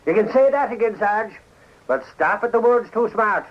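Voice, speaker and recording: An actor playing a blustering, excitable middle-aged newspaperman in a 1940s radio drama. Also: high-pitched, moderate pace. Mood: opinionated. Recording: dull, lo-fi 1940s radio recording.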